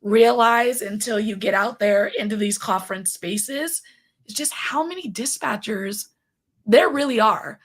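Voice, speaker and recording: Raspy-voiced bubbly woman podcast host. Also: a little high, unhurried. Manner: bubbly, chatty, many fillers. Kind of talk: casual live-stream chat.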